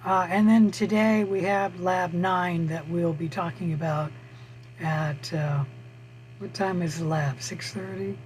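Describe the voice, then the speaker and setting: A middle-aged man with a hoarse voice, teaching a college biology class on a video call, speaking haltingly with frequent pauses. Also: high-pitched, steady tone, a little hiss or hum, low-quality recording. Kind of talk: online lecture over screen share. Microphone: computer mic on a video call.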